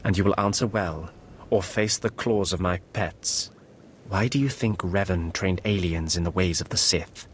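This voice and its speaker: A low-voiced alien lord of beasts, played by a male actor, grave and threatening. He talks in stiff, formal phrases like a dark master in a fantasy video game, over faint background noise. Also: moderate pace, lightly acted. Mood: stern, threatening. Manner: formal, menacing, stilted.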